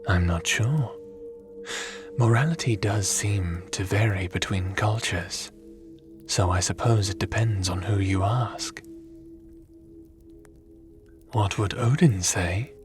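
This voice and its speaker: Guy acting as calm godlike spirit, very slow low voice, formal n pensive, asmr roleplay w music behind. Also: natural voice. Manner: measured, formal, pensive phrasing. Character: calm, curious, formal god-like spirit with secret powers.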